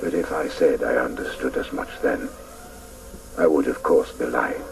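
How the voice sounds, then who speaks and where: A man's voice: extremely low pitch, normal speed, vocal fry. An actor playing a reflective man who recalls his childhood, narrating solemnly and hesitantly in a radio drama. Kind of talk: dramatic first-person narration. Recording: distant, faintly noisy radio recording.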